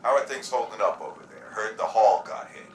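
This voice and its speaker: Casting: man as fatherly game protector, lowish bright voice, plain-spoken, distant and roomy. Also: lively intonation, a little fast, a little hiss or hum, close to an everyday voice. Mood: concerned, kindly. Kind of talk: video game voice acting.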